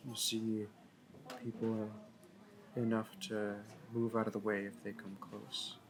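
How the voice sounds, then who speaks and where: A man's voice: lowish voice, flat delivery, measured pace, a crowd in the background. A man playing a shy, smitten young library worker in a roleplay audio, nervous and awkward, stammering and correcting himself. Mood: awkward, wistful. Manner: stammering, hesitant, self-correcting. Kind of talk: intimate roleplay audio acting.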